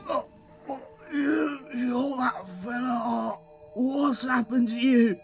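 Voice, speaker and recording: A working-class gardener acted by a man in an audio drama. He is blokey and very slow in his phrasing, with faint music behind him. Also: very high-pitched, fairly even intonation, vocal fry, exaggerated voice. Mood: alarmed, defiant. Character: chatty, startled working-class English gardener.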